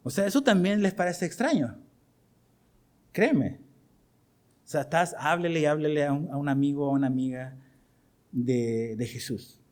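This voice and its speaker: Animated Chilean man preaching a sermon. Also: on the high side, moderate pace, long pauses. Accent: Chilean Spanish. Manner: animated preaching, asks the congregation questions. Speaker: pastor.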